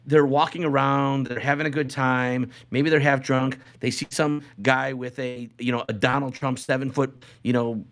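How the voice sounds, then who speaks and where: A man's voice: mid-range voice, little pitch movement, normal speed, few pauses, a bit bright. Rambling man in casual podcast banter. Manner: rambling, repeats phrases. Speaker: podcast co-host.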